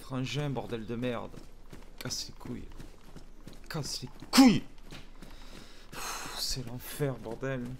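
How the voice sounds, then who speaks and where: A man's voice: mid-range voice, slow, pauses often, background noise. Man doing a scared young criminal in a game roleplay, swears, stutters and whispers, mid voice. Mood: tense whispering. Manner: swearing constantly, stammering, often hushed whispers. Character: panicked young male smuggler hiding from police. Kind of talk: improvised roleplay acting.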